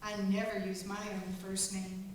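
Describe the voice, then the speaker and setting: An actress playing a kindly, wealthy, chatty widow in a stage radio play. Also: female voice, mid-range voice, level tone, moderate pace, natural voice. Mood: gracious, curious. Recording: echoey hall from far off, faint noise.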